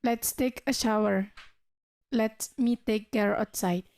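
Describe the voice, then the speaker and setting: A woman's voice: fairly high pitch, steady tone, takes it slowly, darkish tone, no room sound, studio quality. A young woman playing a polite, weary student in an amateur radio drama. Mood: mild. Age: young adult.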